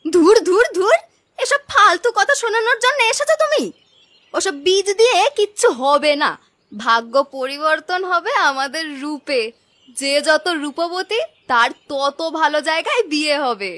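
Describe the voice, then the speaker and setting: A woman's voice: extremely high pitch, animated, moderate pace, a bit bright. A woman voicing a vain, greedy, sneering young village sister in a cartoon story, with a dismissive, mocking delivery. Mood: scornful. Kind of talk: Bengali cartoon story dubbing.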